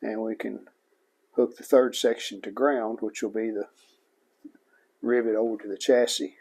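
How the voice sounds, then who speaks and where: A man giving a casual repair demonstration, plainspoken and unhurried, speaking in short phrases as he works. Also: lowish voice, steady tone, vocal fry, darkish tone, breathy edge. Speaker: host of a vintage electronics repair channel.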